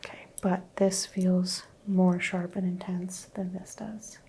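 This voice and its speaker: A woman whispering gently as a doctor in an ASMR roleplay, guiding a patient through a skin exam. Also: fairly low pitch, flat delivery, takes it slowly, creaky, slight background noise. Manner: soft whispering, gentle step-by-step instructions. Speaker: ASMR creator playing a doctor.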